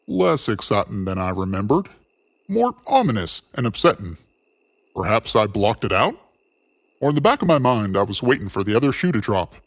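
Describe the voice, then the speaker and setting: A man's voice: mid-range voice, pitched below the performer's own voice. A man playing a wistful, philosophical radio host, musing theatrically through a scripted monologue.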